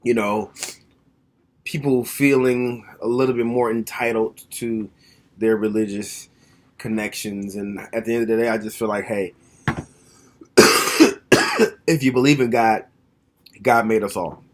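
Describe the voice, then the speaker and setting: A man's voice: lowish voice, steady tone, measured pace, long pauses. Frank, plainspoken man in his thirties. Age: 30s. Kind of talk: casual reflective monologue to camera. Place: at home.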